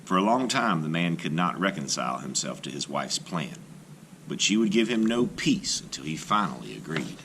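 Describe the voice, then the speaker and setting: A male teacher reads a fairy tale aloud for children. His voice is low but bright, with lively, animated intonation, and a faint hiss of noise sits behind it. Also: normal speed. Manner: expressive, animated storytelling. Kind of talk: storybook read-aloud.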